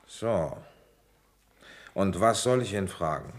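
A very low-pitched male police commissioner in a radio crime drama. He is weary but authoritative, giving orders and telling his case in clipped, matter-of-fact phrases. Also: slow. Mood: firm, official. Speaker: detective and first-person narrator. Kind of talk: radio play acting with narration.

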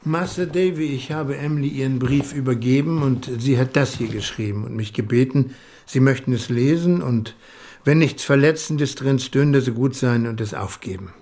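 A man's voice: mid-range voice, normal speed, darkish tone, close to an everyday voice. An actor playing a humble, respectful older working-class man in a radio drama, deferential and earnest.

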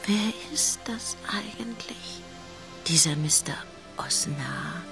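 An actress playing a tipsy, lovelorn middle-aged wife in a German radio play, speaking in a dreamy, drawn-out, often whispering way. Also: female voice, fairly low pitch, expressive, music in the background. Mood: dreamy, yearning.

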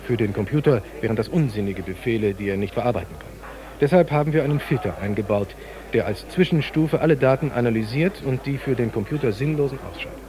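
Ein Schauspieler spielt in einem Hörspiel einen ernsten Computerwissenschaftler, der präzise und lehrhaft sein System erklärt. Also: male voice, medium pitch, quick pace, a crowd in the background, natural voice. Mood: earnest, explanatory. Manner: didactic, precise, technical. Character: male computer scientist explaining his system, earnest and clinical. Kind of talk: radio drama dialogue.

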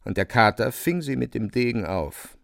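A man narrating a literary radio play in a measured, deliberate storytelling manner. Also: medium pitch, expressive, vocal fry, fairly bright tone, dry recording, clean studio sound.

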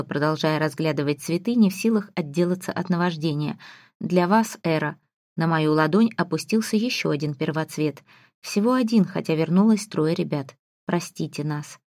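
Low-voiced woman narrating fiction, close and dry. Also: darkish tone, no room sound. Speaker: audiobook narrator. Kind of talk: expressive first-person scripted fiction narration.

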